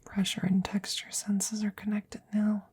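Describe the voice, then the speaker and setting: Breathy, whispering anxious scientist played by a woman. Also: mid-range voice, monotone, darkish tone. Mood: frightened, hesitant. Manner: halting, muttering, repeating herself nervously. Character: anxious female scientist tenderly saving her dying best friend. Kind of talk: whispered ASMR audio roleplay, cyberpunk drama.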